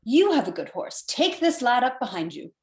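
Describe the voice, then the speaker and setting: A woman playing a fussy, officious male sergeant, halting and repetitive, in a radio play reading with room echo. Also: a little high, sing-song. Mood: officious, flustered. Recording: echoey room on video call.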